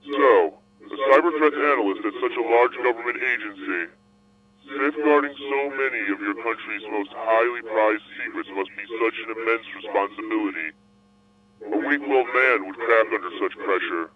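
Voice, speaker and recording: An actor playing a calm, cunning male captor who interrogates his prisoner over a card game, measured and smugly polite. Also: on the low side, natural voice. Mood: coolly smug. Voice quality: measured, smug, coolly polite. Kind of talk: audio drama acting. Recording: phone-like, crowd noise behind.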